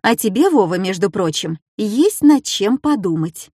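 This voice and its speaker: A woman voicing a composed, authoritative schoolteacher in a children's story, with measured, instructive delivery. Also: medium pitch, sing-song, dark tone, slightly rough, no room sound, studio quality. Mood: firm.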